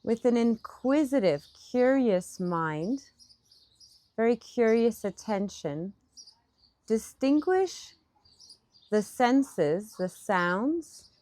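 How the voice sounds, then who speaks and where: A woman leading a guided meditation as a teacher, with gentle, pausing phrasing, speaking outdoors. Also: a little high, sing-song, dry recording. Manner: unhurried, gentle phrasing with pauses. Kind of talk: guided meditation, softly instructive and partly improvised. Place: outdoors in a park. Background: birdsong.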